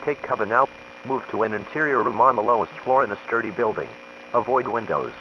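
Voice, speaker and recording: A man's voice: mid-range voice, animated, a little fast. Male emergency alert announcer, formal and impersonal, muffled noisy weather radio sound. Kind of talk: scripted emergency alert reading. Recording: dull, noisy weather radio broadcast with hum.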